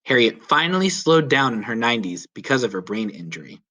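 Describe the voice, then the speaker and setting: A man reading a children's story aloud as a teacher would, with clear, deliberate, emphatic phrasing. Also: mid-range voice, very animated, bright, forceful tone. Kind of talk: children's read-aloud narration.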